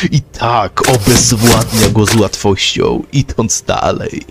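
A man narrating a scripted story recap. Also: on the low side.

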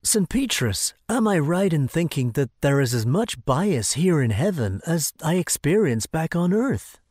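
A man's voice: fairly high pitch, sing-song, measured pace, darkish tone, no echo, clean studio sound. A man voicing a poor peasant farmer in a cartoon, who complains plaintively and asks questions. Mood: aggrieved. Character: grumbling poor old male peasant farmer.

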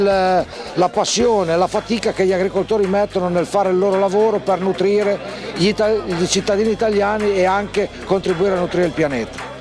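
Middle-aged man, association president, formal and measured, music behind. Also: sits high, darkish tone. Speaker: national farmers' association president. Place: outdoor expo stand.

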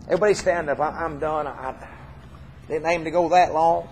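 A man's voice: fairly high pitch, steady tone, brisk, darkish tone, a little hiss or hum. A middle-aged man with a Southern US accent preaching an informal, folksy, earnest sermon, repeating phrases for emphasis. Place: church hall stage, indoors.

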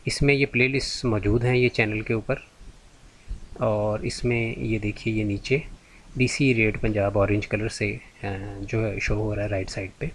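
A man's voice: on the low side, steady tone, measured pace, slight background noise. Patient man guiding a tutorial. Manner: patient, step-by-step, repeats "theek hai ji". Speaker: YouTube tutorial host. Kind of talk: screen-recorded tutorial walkthrough.

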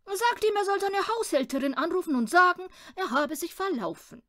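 A woman voicing a boastful, bossy, excitable little man in a children's storybook, loud and pompous, given to pouting. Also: extremely high pitch, normal speed, voice pushed up, exaggerated voice.

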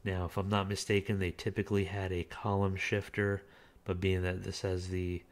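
A man with a low, deep voice chats his way through a car listing, relaxed and unscripted. He reads bits of the listing aloud and drops in plenty of filler words as he goes. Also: moderate pace. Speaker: car auction reviewer. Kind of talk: casual screen-recorded commentary.

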